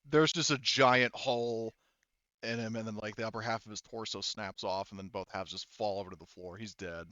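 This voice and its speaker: A man's voice: on the low side, fairly even intonation, a little fast, bright, forceful tone, muffled recording. A man acting as game master in a tabletop roleplaying session, calling rules and narrating action off the cuff in casual, sweary language. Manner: casual, profane.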